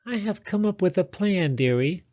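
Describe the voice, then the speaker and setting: Man doing a high-voiced, kindly lady mole. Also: animated, normal speed, a little mellow, muffled recording. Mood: welcoming. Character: friendly, chatty, kindly female mole, children's storybook creature.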